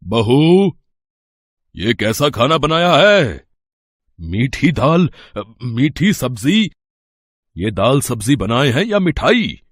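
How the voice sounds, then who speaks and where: An actor playing a middle-aged father-in-law in a cartoon, quick-tempered but fair, husky and emphatic. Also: medium pitch, sing-song, no room sound, clean studio sound. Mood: angry.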